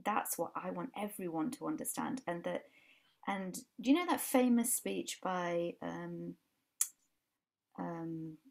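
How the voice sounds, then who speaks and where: A woman's voice: lowish voice, takes it slowly, vocal fry. A woman in her forties with a Yorkshire accent, a life coach guest chatting earnestly on a video call from home, often restarting her sentences. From England. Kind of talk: casual podcast chat. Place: home room with brick wall.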